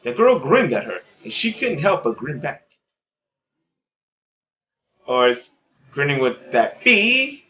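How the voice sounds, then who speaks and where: A woman's voice: deep voice, sing-song, takes it slowly, pauses often, highs cut off. A woman reading a visual novel aloud as a gaming host, mixing narration with casual asides.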